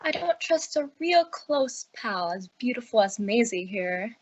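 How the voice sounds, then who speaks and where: A woman's voice: a little high, expressive, measured pace. A woman playing a wavering, lovelorn young wife in an old-time radio drama. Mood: softened.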